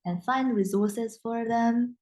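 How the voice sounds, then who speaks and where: A woman's voice: a little high, steady tone, takes it slowly, a little mellow. A young woman whose first language is Mandarin, a guest in a casual interview, speaking English with frequent restarts and fillers. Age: young adult. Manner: hesitant, many restarts and fillers.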